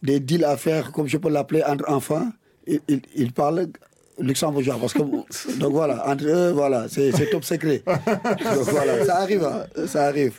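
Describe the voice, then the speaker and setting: A middle-aged man from Senegal, a Wolof speaker, answering a radio interviewer in a halting, relaxed way and often repeating words. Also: on the high side. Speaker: guest.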